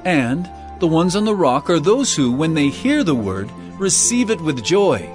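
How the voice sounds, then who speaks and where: An earnest teacher of authority in an audio Bible drama. His fairly high male voice rises and falls expressively, solemn and deliberate, over prominent music. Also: sing-song. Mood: earnest teaching.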